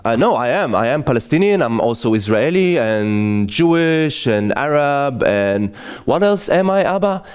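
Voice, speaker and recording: A man's voice: medium pitch, very animated, clean tone, close to an everyday voice. A man voicing a hesitant fifteen-year-old boy who stammers and trails off, in a solo comedy piece. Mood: questioning. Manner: halting and unsure, trailing off.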